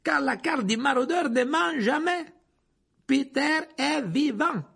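A man voicing an agitated male professor, pleading urgently in a halting, panting way. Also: very high voice, expressive, gravelly, sharp tone, voice pushed up, exaggerated voice. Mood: urgent, insistent.

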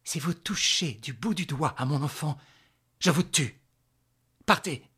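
Man as a fierce, protective mother, husky. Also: fairly high pitch, expressive, unhurried, a bit bright, full character voice. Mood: threatening. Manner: urgent, commanding. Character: fierce protective mother, defiant and fearful for her son.

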